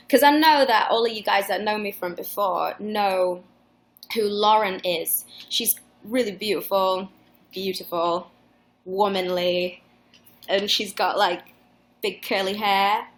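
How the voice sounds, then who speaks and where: A woman's voice: mid-range voice, fairly even intonation, unhurried. A woman in her twenties with a mixed Northern English accent, chatting casually with fans in a live Q&A, rambling and swearing with many restarts. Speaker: singer hosting a live fan Q&A. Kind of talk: casual livestream chat.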